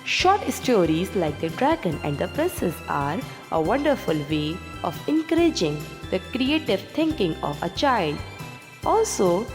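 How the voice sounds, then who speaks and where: Slow, animated woman storyteller with long reverb. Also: mid-range voice. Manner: deliberate, clearly pronounced delivery. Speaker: children's storyteller and teacher. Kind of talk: scripted story narration for children. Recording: long reverb, music behind. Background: music.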